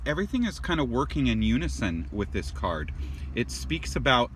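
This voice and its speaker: A man's voice: mid-range voice, sharp tone, a little hiss or hum. A man giving a casual tarot reading to his viewers. He rambles, repeats and restarts words, and exclaims at the cards as he turns them over. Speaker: tarot card reader.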